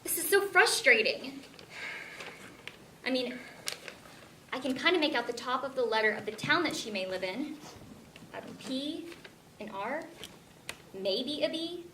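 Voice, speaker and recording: A frustrated, insistent young woman, played by an actress in a stage play. Her voice is on the high side, heard from far off in an echoey space with clear background noise. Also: lively intonation, unhurried, pauses often, sharp tone, exaggerated voice.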